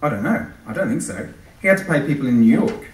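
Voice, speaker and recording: A man's voice: medium pitch, sing-song, quick pace, slight background noise, lightly acted. A man voicing a floundering, defensive young-ish advertising man in an Australian accent, hesitant in his replies. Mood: flustered. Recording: echoey hall, distant microphone.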